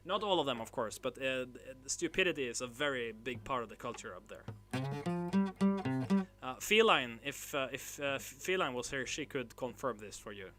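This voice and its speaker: A man from northern Norway, hosting a casual livestream and chatting with viewers in a halting way, full of filler sounds and restarts. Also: mid-range voice, lively intonation, over music. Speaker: livestream host. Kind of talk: casual livestream chat, reading chat messages.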